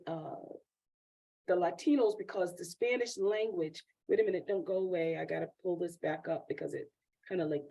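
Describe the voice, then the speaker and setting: A woman's voice: on the low side, vocal fry, dark tone, low-quality recording. A woman moderating an online Q&A, reading out audience questions with hesitant fillers. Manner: hesitant, reads questions aloud. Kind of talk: hosting an online Q&A.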